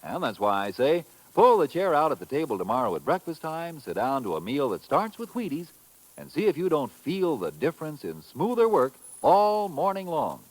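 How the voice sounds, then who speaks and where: A man delivering an old-time radio commercial as an emphatic, folksy pitchman. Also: big pitch swings, normal speed, rough recording. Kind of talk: 1950s radio commercial, folksy hard sell.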